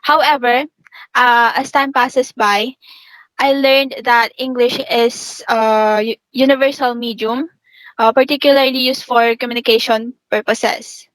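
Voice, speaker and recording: A young woman with a native Filipino speaker's accent talks in a fairly high voice. She gives a careful, formal account as a student guest, recorded dry without room echo. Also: steady tone, a little slow, fairly bright tone. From Philippines. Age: young adult.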